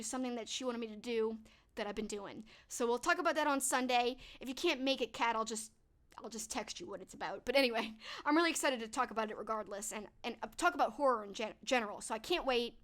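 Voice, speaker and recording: A woman's voice: sits high, a bit bright. A young woman hosting a livestream, chatting upbeat to her viewers and thanking them one by one, her voice a little stuffed up. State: a bit stuffed up. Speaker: livestream host. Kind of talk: casual livestream wrap-up talking to chat.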